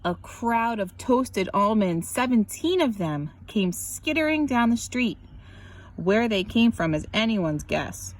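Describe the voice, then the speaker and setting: A woman reads a picture book aloud as scripted narration, animated and drawing out words for emphasis. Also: a little high, slow pace. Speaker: children's story reader.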